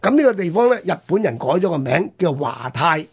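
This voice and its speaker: Male history host, Hong Kong Cantonese, slightly high and husky, conversational storytelling in broken-up phrases. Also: big pitch swings, fairly quick. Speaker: radio history programme host.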